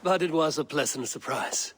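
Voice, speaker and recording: An actor playing a blunt, guarded Viking warrior leader in a video game, speaking tersely and skeptically with an air of command. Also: male voice, fairly high pitch, moderate pace, husky. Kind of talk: video game dramatic dialogue. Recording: loud background ambience noise.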